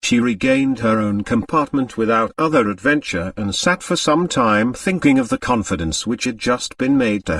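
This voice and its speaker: A man reads a novel aloud as an audiobook narrator. His intonation is lively and varied, and he sounds a little way from the microphone. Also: mid-range voice, no echo, far from the mic.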